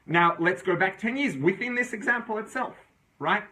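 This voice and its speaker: A man's voice: high voice, expressive, normal speed, pushed and bright. A man teaching an informal religious class, reasoning aloud in a conversational way and often checking in with his listeners. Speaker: Torah teacher.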